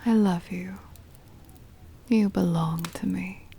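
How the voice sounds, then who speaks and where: Woman as a whispering, creaky vampire mistress. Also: lowish voice, steady tone, warm tone, slight background noise. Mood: soothing, devoted. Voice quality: breathy whisper with creaky fry. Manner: slow, soothing, formal, intimate. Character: possessive, doting aristocratic vampire mistress comforting her beloved pet. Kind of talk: whispered ASMR roleplay.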